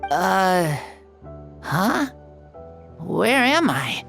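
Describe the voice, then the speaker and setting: Slow, hesitant, creaky-voiced young child. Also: female voice, mid-range voice, sing-song, fairly bright tone, soft background music, exaggerated voice. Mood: confused. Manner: hesitant, halting. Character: timid, earnest, frail young child, wondering and unsure. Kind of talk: video game voice acting.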